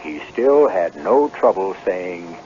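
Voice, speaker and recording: A man's voice: low-pitched, very animated, takes it slowly, darkish tone, a little hiss or hum. A man hosting and narrating a scripted radio drama with literary, theatrical storytelling. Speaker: host and narrator. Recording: muffled old radio broadcast. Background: music.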